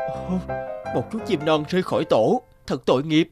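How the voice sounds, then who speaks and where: A man voicing a devoted, kindhearted young fiddler in a fairy-tale cartoon, earnest and expressive. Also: fairly high pitch, moderate pace, close to an everyday voice. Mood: tender, cheerful. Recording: music under the voice.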